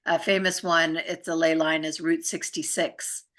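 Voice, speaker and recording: A woman's voice: low-pitched, flat delivery, measured pace, a bit bright. A woman explaining things in casual conversation, in an earnest, informal, rambling way with frequent check-in phrases.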